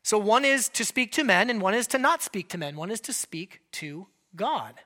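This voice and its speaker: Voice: mid-range voice, sing-song, normal speed, sharp tone. A pastor giving a sermon in a conversational way, breaking it up with joking asides.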